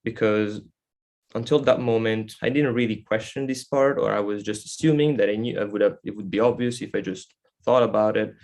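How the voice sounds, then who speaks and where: An Italian man speaking English with an Italian accent as a guest speaker, giving an informal talk in a halting, reflective, explanatory way. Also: fairly low pitch, darkish tone. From Italy. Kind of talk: informal spoken presentation.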